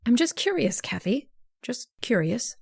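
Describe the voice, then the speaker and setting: Creaky-voiced scheming genteel sister played by an actress. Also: female voice, a little high, sing-song, a little slow, a little hiss or hum, heavily acted. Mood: sly, controlled. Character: sly, scheming sister feigning frail worry. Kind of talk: old-time radio drama acting.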